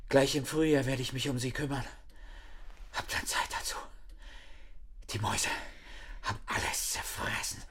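An actor playing a proud, feverish dikemaster who broods haltingly and often half-whispers, in a radio drama. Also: male voice, mid-range voice, a bit bright, breathy edge. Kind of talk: German radio drama acting.

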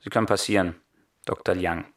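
Low-voiced, stern palace guard. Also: male voice, steady tone, fairly quick, a bit bright. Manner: clipped, official. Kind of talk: German radio drama acting.

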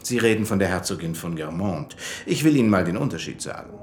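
A self-important male society doctor in a radio play. His voice is on the low side and swings widely as he brags in chummy, emphatic phrases. There is some ambient noise around him. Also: expressive, fast. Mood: emphatic bragging. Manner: pompous, colloquial, emphatic. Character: boastful, chummy society doctor showing off grand acquaintances. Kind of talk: German radio drama acting.

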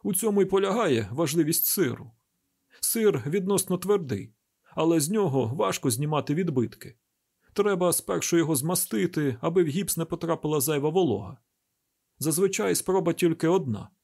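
Man acting exacting, husky medical examiner. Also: a little high, lively intonation, normal speed, darkish tone, voice pushed up. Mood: controlled, explanatory. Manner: formal, precise, lecturing. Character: exacting, testy male chief medical examiner.

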